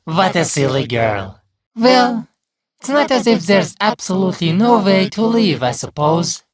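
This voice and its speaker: A man voicing a mock-polite, condescending serpent who owns a haunted manor, with drawn-out theatrical delivery. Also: high-pitched, sing-song, crowd noise. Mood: smug, condescending.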